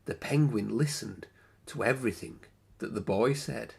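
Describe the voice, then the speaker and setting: A man reading a children's picture book aloud as an expressive storyteller, with dramatic phrasing and drawn-out words. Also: lowish voice, creaky, slightly rough.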